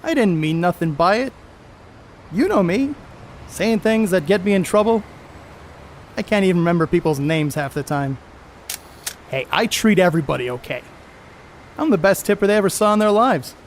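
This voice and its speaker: Man acting brash, high-pitched slangy ballplayer, noisy recording. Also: lively intonation, moderate pace, pauses often. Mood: defensive, cajoling. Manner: slangy, folksy, boastful. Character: brash, jovial, crude baseball star, hard-living man. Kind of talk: audio drama acting, 1930s setting.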